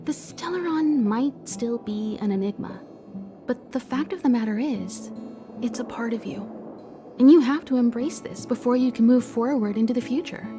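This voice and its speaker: Composed, higher-voiced woman mentor played by an actress. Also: normal speed, close to an everyday voice. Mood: gently encouraging. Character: composed, encouraging woman mentor aboard a space train. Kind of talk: dramatic video game dialogue. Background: background music.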